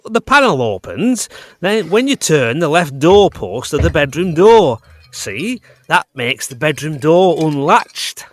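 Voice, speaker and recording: A gleeful old smuggler captain from an audio drama, with a rural English dialect. His voice is lively and a touch high, recorded dry and close, and he savours his own mischief. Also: measured pace, no echo. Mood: sly, boastful. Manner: rustic dialect, chuckling relish.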